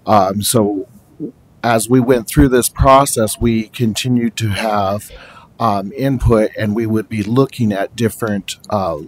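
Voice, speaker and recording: A man who is a special education teacher, giving a steady, explanatory presentation to a school board in a large meeting room and often pausing on fillers. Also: on the low side. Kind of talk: formal presentation.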